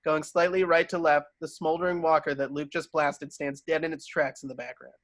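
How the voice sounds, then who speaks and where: A man with a slightly high voice narrates a screenplay. He reads scene headings and action lines in a scripted table-read style. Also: flat delivery, brisk, fairly bright tone. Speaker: narrator reading stage directions.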